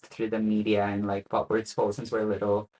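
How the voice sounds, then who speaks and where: A man's voice: fairly low pitch, fairly quick, low-quality recording. Young man telling his story. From Spain. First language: Spanish. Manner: relaxed, hesitant with frequent "uh" fillers and soft laughs. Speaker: guest. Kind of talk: casual interview chat.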